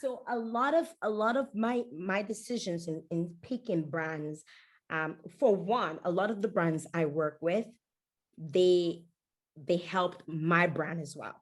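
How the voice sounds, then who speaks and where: A woman from Dominica, telling stories in an animated, conversational way as an interview guest. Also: fairly low pitch, slow. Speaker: podcast guest.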